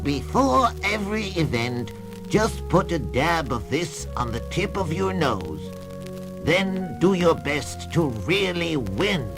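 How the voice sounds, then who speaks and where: A man acts a wise old cartoon elder on a storybook record. He is reassuring and instructive, speaking slowly in a fairly high voice that moves widely in pitch. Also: big pitch swings, creaky, full character voice. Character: kindly, wise old male Smurf elder. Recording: children's record with music and crowd.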